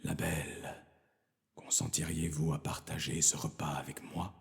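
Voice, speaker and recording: Deep, slow storybook beast, acted by a male narrator: courteous, resigned, mellow and hushed. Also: flat delivery, gravelly, full character voice. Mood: courteous, uneasy.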